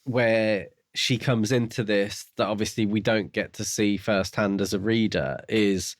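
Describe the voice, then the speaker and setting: A man's voice: fairly low pitch, no echo, clean studio sound. A man co-hosting a podcast, casually talking through a novel in an explanatory, tentative way. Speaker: book podcast co-host.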